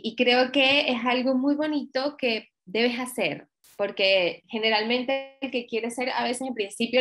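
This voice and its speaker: Venezuelan chica, voice actress guest, kinda high voice, laughs a lot, webcam call. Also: female voice. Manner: lively, laughs often, acts out quoted lines. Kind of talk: casual interview chat. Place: home room, video call.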